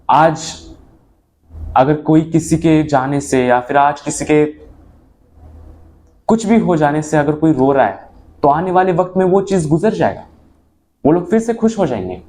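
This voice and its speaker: A young man speaking an earnest motivational monologue to his viewers, mixing in English phrases and swinging between emphatic and low moments. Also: dark tone, a little hiss or hum. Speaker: motivational vlogger.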